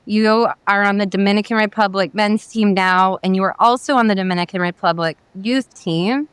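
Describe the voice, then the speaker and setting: A woman's voice: medium pitch, normal speed, clear, resonant tone, dry recording. Female host, very clean tone, dry close sound, upbeat conversational questions. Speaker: interviewer, physician host. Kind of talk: interview hosting.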